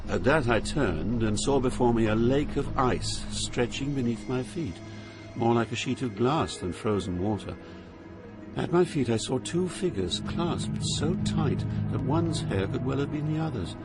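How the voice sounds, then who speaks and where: A man giving a scripted dramatic narration as storyteller, solemn and theatrical, with measured phrasing. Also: mid-range voice, lively intonation, over music.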